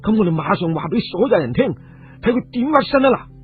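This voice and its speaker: Through a thin radio recording comes a man acting out a hot-headed young man in a storytelling drama. His voice is husky and lively, blurting and impetuous. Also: sits high, fairly quick, voice pushed up, heavily acted. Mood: outraged. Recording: muffled phone-like radio recording.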